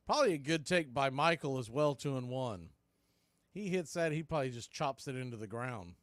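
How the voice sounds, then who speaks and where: A man doing live baseball play-by-play on radio, speaking in clipped phrases with pauses between calls. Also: mid-range voice, lively intonation, a bit bright, dry recording.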